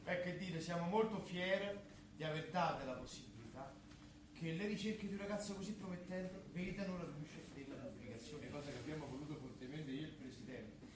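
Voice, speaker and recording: A man's voice: on the high side, brisk, lightly acted. Man playing a pompous minister, very echoey stage. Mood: proud, official. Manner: pompous, official speechifying. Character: self-satisfied pompous government minister of culture. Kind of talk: theatrical satire of a political interview. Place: theatre stage, live performance. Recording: echoey theatre stage, noisy room ambience.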